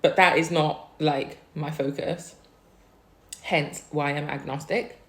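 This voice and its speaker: Young woman, from Wales, food vlogger, casual Q&A chat, soft food hums, lowish voice. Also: fairly even intonation, takes it slowly, reverberant. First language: Chinese. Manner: chatty, savouring food with soft hums between remarks. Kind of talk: casual eating vlog Q&A.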